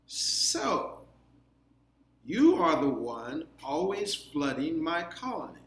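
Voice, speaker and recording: Casting: stern storybook ant queen played by a man, raised high pitch, imperious drawn-out scolding, roomy echo. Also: fairly bright tone, full character voice. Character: regal, stern queen ant passing judgment. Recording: roomy, echoing, faint background noise.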